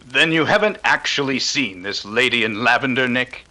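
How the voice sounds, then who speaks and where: A man playing a cool, courteous, skeptical detective with formal, measured speech, in a 1930s-style radio drama. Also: medium pitch, lively intonation, sharp tone.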